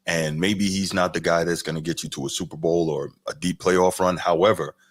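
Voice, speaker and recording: A man co-hosting a sports podcast, giving his picks frankly and in a measured way, backing his partner with steady mm-hmms. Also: sits low, dry recording. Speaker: podcast co-host. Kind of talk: casual sports chat.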